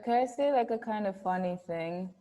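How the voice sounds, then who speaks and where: A woman's voice: mid-range voice, fairly quick, warm tone. A woman with a Kenyan accent, a student chatting and giggling over a video call. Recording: reverberant video call audio.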